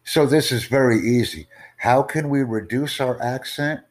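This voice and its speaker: A male tutor hosts a teaching podcast, answering listeners' questions. He speaks slowly and formally in a measured, mid-range voice, often putting rhetorical questions to his audience. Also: mid-range voice. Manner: slow, formal, deliberate, asks rhetorical questions. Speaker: IELTS tutor and podcast host.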